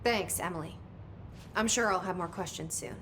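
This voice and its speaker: A woman's voice: fairly low pitch, normal speed, noisy background, close to an everyday voice. A woman playing a guarded, searching new agency director in a video game, speaking tersely and introspectively. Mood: guarded, curious.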